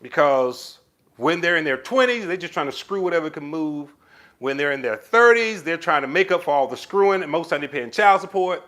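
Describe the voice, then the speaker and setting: A man's voice: a little high, fairly quick. A man hosting a casual live stream, reading viewer comments and giving relationship advice, calling viewers baby. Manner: animated, addresses viewers with pet names like baby. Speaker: livestream host answering viewer comments.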